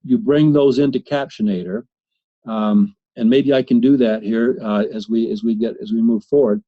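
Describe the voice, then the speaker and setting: A man presenting a software demo in a webinar, talking haltingly with many fillers and restarts. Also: mid-range voice, fairly even intonation. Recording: slightly muffled webinar audio.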